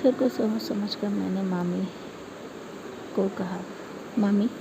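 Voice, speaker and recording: Female storyteller, medium pitch, hesitant read-aloud delivery with self-corrections, noisy amateur recording. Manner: halting, with stumbles and self-corrections. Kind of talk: first-person story narration, reading aloud. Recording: clearly noisy ambient background.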